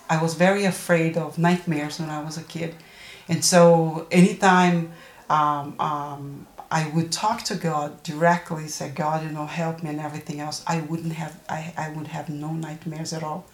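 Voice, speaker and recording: A woman in her early sixties from Brazil speaks with a Brazilian accent in a low voice. She tells her personal story as an interview guest, halting and searching for words. The recording is roomy and echoing. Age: early 60s.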